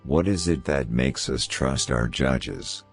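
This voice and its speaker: Extremely deep-voiced man reading quotations. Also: slow pace. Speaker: narrator. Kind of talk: scripted narration of famous quotations. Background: background music.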